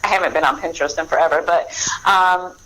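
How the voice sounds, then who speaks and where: A woman's voice: sits low, pushed and bright, low-quality recording. A middle-aged woman speaking as an author guest in a casual interview, chatty, often doubling back and correcting herself. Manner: chatty, halting and self-correcting.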